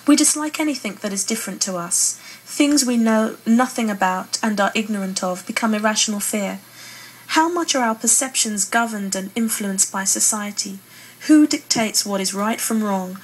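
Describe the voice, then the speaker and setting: A British woman, a playwright and poet, speaking earnestly as an interview guest. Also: medium pitch. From Britain. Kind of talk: earnest interview talk with read-aloud passages. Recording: clearly noisy room ambience.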